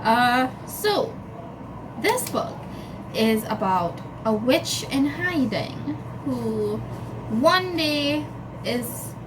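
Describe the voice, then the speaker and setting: A woman's voice: high voice, sing-song, drawn-out words. A woman chatting casually and enthusiastically to camera as a book vlogger. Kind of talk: casual chat to camera about books. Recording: clearly noisy background ambience.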